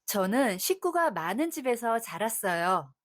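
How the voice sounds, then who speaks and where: A woman hosting an English lesson, reading prompts quickly and repeating English sentences slowly and carefully. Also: fairly high pitch, sing-song. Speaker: host and English learner. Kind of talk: repeating practice sentences aloud.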